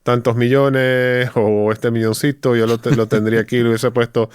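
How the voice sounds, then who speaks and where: Smooth-voiced male podcast interviewer. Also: mid-range voice, level tone, moderate pace, no room sound. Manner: probing, direct follow-up questions. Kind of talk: conversational interviewing.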